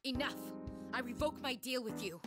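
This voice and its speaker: Actress doing strict grown-up anime woman, proud but remorseful, lowish voice, very measured. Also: over loud music, lightly acted. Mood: resolute, angry. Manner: formal, measured, earnest. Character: stern, proud, remorseful adult woman, newly resolute. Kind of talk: dramatic anime-style voice acting.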